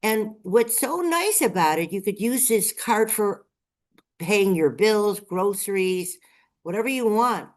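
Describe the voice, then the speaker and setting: A woman's voice: medium pitch, lively intonation, measured pace. A woman chatting enthusiastically as a guest on a live-streamed show, sounding a bit congested. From Las Vegas, Nevada. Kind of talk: casual live-stream chat.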